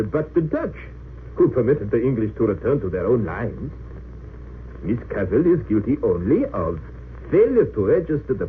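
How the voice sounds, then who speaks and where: An actor in an old-time radio drama playing an earnest, deferential Romanian defense lawyer who argues formally and pleads with feeling. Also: male voice, medium pitch, lightly acted. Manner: hesitant yet impassioned, formal oratory. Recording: tinny narrow-band old radio recording, hissy.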